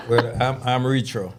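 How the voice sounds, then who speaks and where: A man, a guest on a casual podcast, relaxed and laughing easily. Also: mid-range voice. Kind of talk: casual chat.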